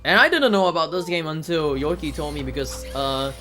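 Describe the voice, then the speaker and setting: Higher-voiced man over game music. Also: expressive, brisk. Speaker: speedrunner. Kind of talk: casual live game commentary. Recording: loud game music behind. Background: video game music.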